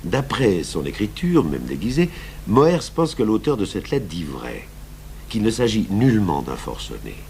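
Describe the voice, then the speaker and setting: An actor playing a seasoned, calm police commissioner in a radio drama, measured and deliberate, firm and patient. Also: male voice, fairly low pitch. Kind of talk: French radio drama acting. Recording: hummy, noisy old radio broadcast.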